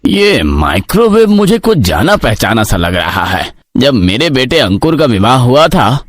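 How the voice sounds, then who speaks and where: A man's voice: medium pitch, big pitch swings, a little slow, no room sound. A man playing a lecherous, sly older village headman in a horror cartoon, talking in an insinuating way. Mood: sly curiosity. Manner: insinuating, chuckling.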